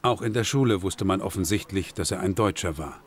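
Ein Mann, der ruhig und gemessen einen Dokumentarfilm aus dem Off erzählt. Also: male voice, deep voice, brisk, slight background noise. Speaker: documentary narrator. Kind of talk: scripted documentary narration.

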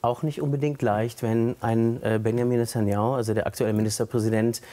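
A man speaking as a guest in a recorded TV interview, thoughtful and hesitant, with frequent fillers and pauses. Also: medium pitch, steady tone, warm tone. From Germany.

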